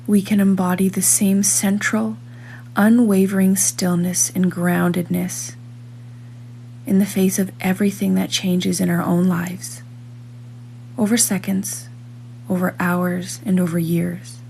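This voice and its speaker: A woman reading a scripted guided meditation in a calm, measured manner, leaving long pauses between phrases. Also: medium pitch, gravelly, a little hiss or hum.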